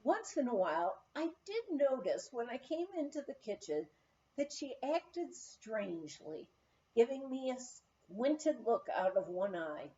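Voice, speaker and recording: A woman narrating a written memoir as an audiobook reader, with expressive storytelling. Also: fairly high pitch, measured pace, highs cut off, slight background noise.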